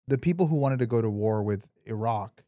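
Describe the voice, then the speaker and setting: A man's voice: medium pitch, lively intonation, brisk, warm tone, no room sound. Casual man talking politics, dry close sound. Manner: informal, swearing, emphatic. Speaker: podcast co-host. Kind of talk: casual opinionated political chat.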